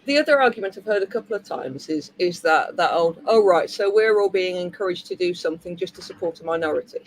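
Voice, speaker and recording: A woman's voice: on the low side, lively intonation, fast, slight background noise, rough recording. A woman hosting a panel over a video call, talking in a forthright, wry way. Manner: forthright, wry, rising emphasis. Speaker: host. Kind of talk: casual panel chat.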